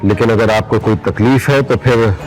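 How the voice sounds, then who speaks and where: A man's voice: fairly low pitch, moderate pace, darkish tone, faint music behind, lightly acted, low-quality recording. An actor playing a humble, earnest man in a Hindi audio drama.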